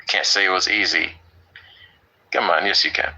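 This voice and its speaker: A man with a deep voice teaching an online English class, upbeat and teasing with his students. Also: fairly even intonation, moderate pace, vocal fry, sharp tone, slightly rough.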